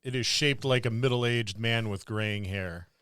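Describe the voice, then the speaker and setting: A man's voice: medium pitch, unhurried, background noise. A man co-hosting a podcast in casual banter, with a jokey, grumbling wryness. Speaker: podcast co-host. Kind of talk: casual banter among co-hosts.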